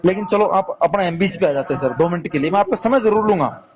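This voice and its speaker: High voiced guy arguing on the phone, keeps pushing his point, busy chatter behind him. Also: expressive, fast talker, lo-fi sound. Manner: insistent, repeats himself and interjects. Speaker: caller, community activist. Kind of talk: argumentative phone conversation.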